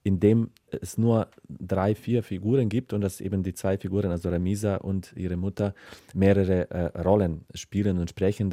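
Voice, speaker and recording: A man's voice: low-pitched, dark tone, no room sound, clean studio sound. A man from Serbia, a writer answering interview questions, explaining himself while often searching for words.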